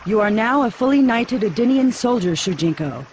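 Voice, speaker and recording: A woman's voice: a little high, animated, moderate pace, darkish tone, slight background noise, lightly acted. An actress playing a regal queen in a video game, gracious and commanding with formal, stately speech. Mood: solemn, commanding. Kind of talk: video game cutscene acting.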